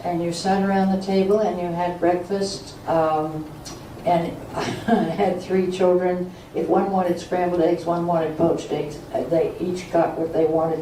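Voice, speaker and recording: An older woman speaks slowly and fondly about how things used to be. Her voice is low and mellow. She sounds distant, in a roomy hall with faint noise. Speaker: speaker sharing memories. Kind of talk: oral history reminiscence.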